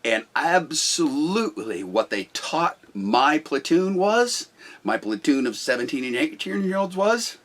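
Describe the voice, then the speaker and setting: Loud, blunt man talking business. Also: a little high, very animated, measured pace. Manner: blunt, forceful storyteller. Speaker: business owner talking about management. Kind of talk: casual talk.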